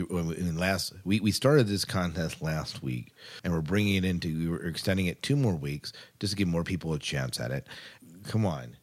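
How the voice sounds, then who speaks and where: A man hosting a podcast in a casual, informal, rambling way. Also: low-pitched. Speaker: podcast host. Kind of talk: casual sci-fi podcast talk.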